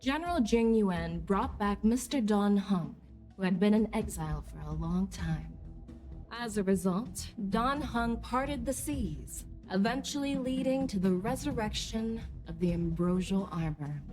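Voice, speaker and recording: An actress playing a composed female general in a video game, questioning others in a measured, formal, accusatory manner. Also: mid-range voice, a little mellow, faint music behind, close to an everyday voice. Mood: coolly pressing. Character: composed female general acting as stern, probing interrogator. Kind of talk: scripted game dialogue.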